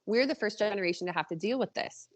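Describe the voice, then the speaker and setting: A woman's voice: medium pitch, animated, fast. A woman, around her thirties, chatting casually and animatedly as an author being interviewed on a podcast, with a self-deprecating manner. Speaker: podcast guest, indie author.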